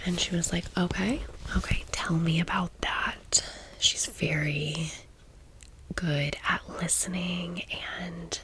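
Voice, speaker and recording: A woman's voice: lowish voice, steady tone, slow, fairly bright tone, a little hiss or hum, low-quality recording. A woman in her thirties whispering a casual, chatty, gushing story in an ASMR style. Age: 30s. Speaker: ASMR vlogger.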